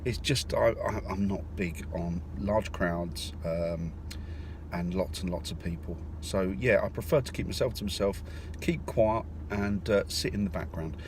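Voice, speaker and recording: A man's voice: low-pitched, a little slow, a little mellow. Rambling man vlogging from a car. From North London, England. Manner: rambling, hesitant, with many fillers and restarts. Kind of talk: casual vlog while driving. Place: in a car in the countryside. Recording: car noise in the background. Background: road and car noise.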